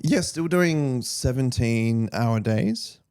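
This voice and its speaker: An Australian man chatting casually as a podcast guest, telling anecdotes in a relaxed conversational way. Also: mid-range voice, slow, clean tone.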